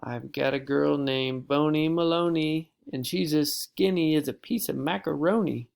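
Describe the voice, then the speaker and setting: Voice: medium pitch, normal speed, creaky, a little mellow, voice pushed up, close to an everyday voice. A reader voicing a strict female schoolteacher in an audiobook, scolding in clipped phrases. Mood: cheerful. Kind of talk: audiobook character voice.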